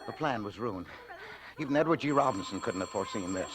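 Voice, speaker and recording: A man's voice: lowish voice, animated, fast, lightly acted. An actor playing a timid, flustered, henpecked husband in 1940s radio drama, hesitant and stammering as he talks. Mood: anxious. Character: timid, henpecked, nervous husband telling his misadventure. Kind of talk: 1940s radio drama acting, first-person narration and dialogue. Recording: vintage radio recording, faint music.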